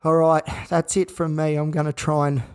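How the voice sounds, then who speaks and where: A man hosting a solo podcast from a hospital room, his voice croaky and worn out, talking flatly and wearily with rambling restarts. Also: on the high side, normal speed. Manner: flat, weary, rambling with restarts. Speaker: podcast host. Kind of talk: candid solo podcast monologue. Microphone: own recording gear.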